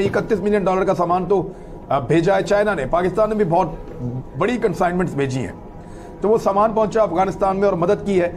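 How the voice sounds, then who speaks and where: A man's voice: high voice, a little mellow. A man hosts a news commentary. He talks to viewers conversationally, hammering points home through repetition and rhetorical questions. There is noticeable noise behind his voice. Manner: emphatic, repeats phrases and poses rhetorical questions.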